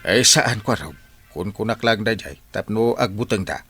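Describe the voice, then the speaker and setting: A man's voice: lowish voice, expressive, moderate pace, pushed and bright, husky, faint music behind, full character voice. A man with an Ilocano accent playing a scheming, exasperated village captain in a comedy radio drama. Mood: sly.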